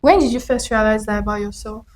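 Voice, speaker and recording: A young woman reads her own prose in a slow, reflective spoken-word style, then turns to asking questions as host. Her voice sits at an ordinary middle pitch. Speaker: host and writer.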